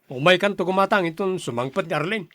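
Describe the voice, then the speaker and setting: A man's voice: high-pitched, fast talker, fairly bright tone, no echo. A man plays a short-tempered Ilocano older brother in a radio-style family drama. He finds fault with everyone and speaks bluntly, like someone used to scolding. The sound is dry, with very little room in it. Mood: angry, scolding. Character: irritable, fault-finding older brother and shopkeeper.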